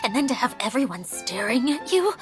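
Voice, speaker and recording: Fretful young woman, high pitch, very animated intonation, easily rattled, dramatic, video game character. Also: brisk, over music. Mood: anxious dread.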